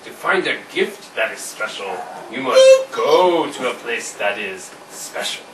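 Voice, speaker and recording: A man's voice: medium pitch, very animated, measured pace, far from the mic. A man voicing a pushy pig shopkeeper with a chuckling salesman's patter, in an amateur home puppet show. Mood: scheming. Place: indoor room with a puppet stage. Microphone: far camera. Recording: roomy noisy home video from afar.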